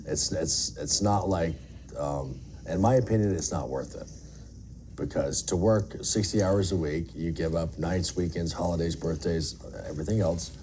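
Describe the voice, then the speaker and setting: A man's voice: deep voice, normal speed, mellow. A middle-aged man giving candid, earnest interview answers in an emphatic, plain-spoken way, as an air traffic controller. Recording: faint background noise.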